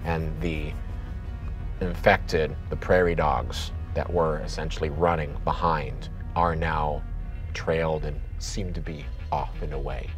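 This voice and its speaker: A man running a tabletop horror game as game master, describing scenes and trailing into low murmurs. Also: steady tone, slow pace, music in the background, low-quality recording. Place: studio set at a gaming table.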